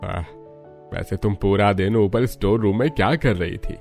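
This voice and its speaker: A man's voice: fairly low pitch, moderate pace, vocal fry, a little mellow. A man voicing a firm but fair older father-in-law in a cartoon story, questioning and somewhat stern. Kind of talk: Hindi cartoon story dialogue. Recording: music behind the voice.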